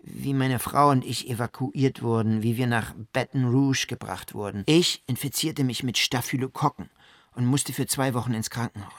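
A man's voice: mid-range voice, a bit bright, dry recording, clean studio sound, close to an everyday voice. A man acting in a radio drama as a shaken disaster survivor, giving a stark first-person monologue. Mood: bitter, resigned. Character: shaken male survivor of a flood disaster, recounting it.

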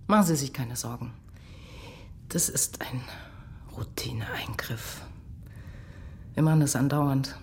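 Low-voiced brisk woman doctor. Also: a little hiss or hum, exaggerated voice. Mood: calm, reassuring. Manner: clinical, routine reassurance. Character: brisk, reassuring female doctor, authoritative. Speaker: gynaecological surgeon. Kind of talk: radio drama acting.

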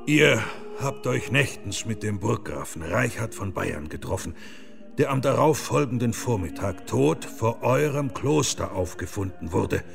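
Very gravelly, stern interrogator. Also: male voice, lowish voice, expressive, a little slow, over music, heavily acted. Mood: probing. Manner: insistent, pointed questioning. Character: stern, probing male investigator in historical drama. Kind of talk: German radio play acting.

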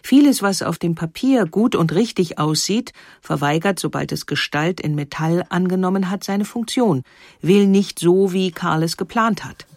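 A woman's voice: fairly low pitch, moderate pace, a little mellow, no room sound. Composed female narrator, dry sound. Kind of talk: scripted documentary narration.

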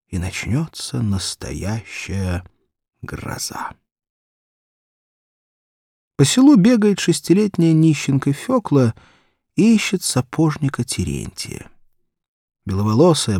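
A man narrating a children's story in an expressive scripted reading. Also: medium pitch, gravelly, husky, no echo, studio quality. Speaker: audiobook narrator.

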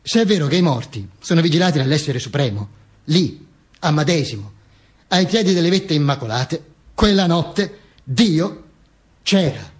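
A man's voice: a little high, expressive, close to an everyday voice. A male stage actor playing a dead dictator who tells his own posthumous story in an ironic, emphatic theatrical monologue. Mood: solemn irony. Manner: emphatic, obsessively repetitive, deliberate pauses.

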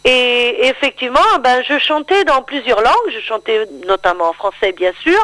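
Higher-voiced chatty woman, close dry sound. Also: animated, moderate pace, fairly bright tone, no room sound. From born in Paris, grew up in Brussels, Belgium. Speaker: singer, interview guest. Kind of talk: casual radio interview.

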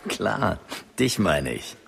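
Smug deep-voiced politician, bossy. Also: male voice, creaky, noisy background, heavily acted. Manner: commanding and self-satisfied. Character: vain politician dictating media demands. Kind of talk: radio play acting.

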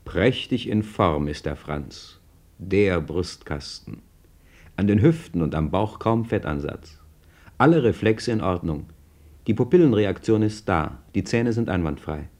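A man's voice: sits low, expressive, unhurried, a little mellow, exaggerated voice. An actor playing a knowing male narrator in a radio play, conversational and wry as he follows his hero. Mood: wry, familiar. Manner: conversational, wry, present-tense commentary. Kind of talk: scripted radio drama narration.

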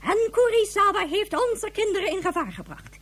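Stern, high-voiced woman in command. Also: very animated, fairly bright tone, exaggerated voice. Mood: indignant, lecturing. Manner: formal, measured, lecturing. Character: stern authoritative female chief of a future space society. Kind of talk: Dutch science fiction radio drama acting.

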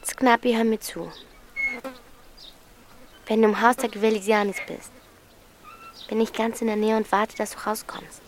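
Woman doing a cheeky bossy teen girl in a radio drama, highish voice, blunt, bit of outdoor bug noise. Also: little pitch movement, measured pace, pauses often, close to an everyday voice. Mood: bossy, urgent. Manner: blunt, commanding, teasing. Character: bold, bossy, streetwise thirteen-year-old girl in wartime. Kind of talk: German radio play acting.

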